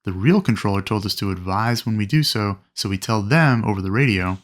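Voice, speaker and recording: A man narrating an instructional lesson as a flight instructor, explaining things clearly and methodically. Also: lowish voice, brisk. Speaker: flight instructor presenting a training video. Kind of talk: instructional narration with acted radio calls.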